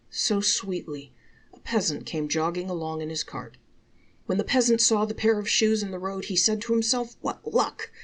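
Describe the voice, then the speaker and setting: Creaky-voiced woman storyteller reading folktales. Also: fairly low pitch, a bit bright. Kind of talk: reading folktales aloud, expressive scripted narration.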